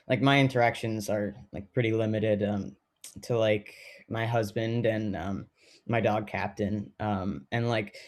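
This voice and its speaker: A man playing a thoughtful, lonely teacher in a documentary play, speaking casually and haltingly with many fillers. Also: on the low side, a little mellow, natural voice. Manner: hesitant, filler-heavy, halting. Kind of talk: casual documentary theater monologue.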